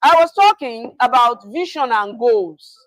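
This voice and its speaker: Middle-aged woman, workshop speaker; loud, measured delivery, unhurried, slightly high voice. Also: expressive, rough recording. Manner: loud, deliberate lecturing. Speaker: university registry administrator giving a workshop talk. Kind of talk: workshop lecture.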